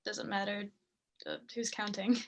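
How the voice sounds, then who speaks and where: A woman's voice: medium pitch, slight background noise. A young woman acting on stage as a morbidly curious girl on a date, speaking casually and a little hesitantly. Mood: entranced. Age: young adult. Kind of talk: student stage acting, naturalistic dialogue.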